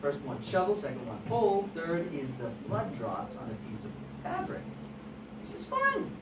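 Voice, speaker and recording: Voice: mid-range voice, huge pitch swings, normal speed. An amateur actor at a table read playing a scheming, persuasive crime photographer with an intense, emphatic delivery. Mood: eager, excited. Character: scheming, persuasive crime photographer who stages fake scenes. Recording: distant, echoey, noisy room recording.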